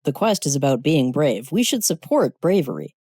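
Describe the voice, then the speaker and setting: Earnest woman stylist, as a narrator voices her, mellow. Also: mid-range voice, sing-song, moderate pace, slightly rough, no room sound, clean studio sound. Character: earnest, caring young female eyewear stylist. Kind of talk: scripted short-story dialogue.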